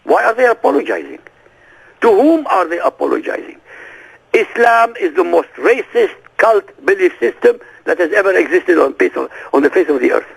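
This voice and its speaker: Gravelly, animated man, native Arabic speaker. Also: high voice, normal speed, poor audio. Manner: forceful, emphatic, fires rhetorical questions. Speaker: interview guest. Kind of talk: radio interview.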